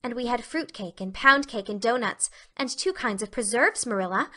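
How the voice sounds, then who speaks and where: A woman voicing a dreamy, excitable, talkative young girl in an audiobook, effusive and earnest with grandiloquent phrasing. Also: on the high side, moderate pace. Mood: blissful, earnest. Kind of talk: dramatic audiobook reading.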